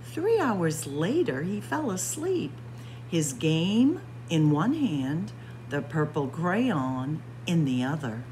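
Librarian, woman, slow and theatrical read-aloud, wide-ranging lowish voice, faint hum behind. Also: low-quality recording. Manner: dramatic, expressive storytelling with spooky whispers. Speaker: children's librarian. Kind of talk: picture book read-aloud. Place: library with bookshelves.

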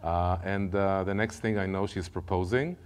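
A middle-aged Israeli man with an Israeli accent giving a testimony sermon from a church podium, telling his story in an animated way and often repeating words as he goes. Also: deep voice, normal speed, smooth. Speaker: guest speaker. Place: church sanctuary, large room.

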